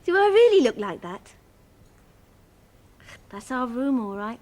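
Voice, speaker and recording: An actress playing a cheeky, hot-tempered young maidservant with a working-class English accent in a period drama. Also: female voice, on the high side, very animated, a little slow, a little mellow. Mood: teasing, chatty. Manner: saucy, blunt, colloquial. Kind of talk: period television drama acting.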